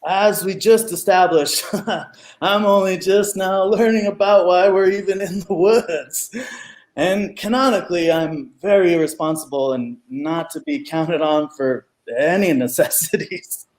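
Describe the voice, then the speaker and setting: A man's voice: high-pitched, a little slow. A middle-aged man playing an irresponsible, game-obsessed stoner superhero in a comedy radio play over a video call. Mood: unbothered. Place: room at home, video call.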